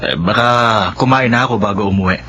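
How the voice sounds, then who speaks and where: A calm working father. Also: on the low side, quick pace, lo-fi sound, a little hiss or hum. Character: busy, easygoing working father and husband. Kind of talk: radio drama acting.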